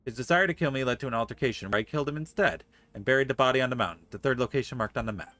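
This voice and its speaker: A man's voice: mid-range voice, very fast, bright, forceful tone, no room sound. A man livestreaming a game, reading story dialogue aloud articulately and adding playful comments. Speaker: game streamer.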